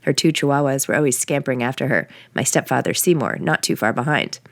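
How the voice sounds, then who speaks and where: An American woman with a low voice speaks as an author sharing her own work. The recording is very dry, and her delivery is that of casual talk and personal narration. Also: fast, no echo. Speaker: author and podcast host. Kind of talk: casual announcements and first-person novel reading.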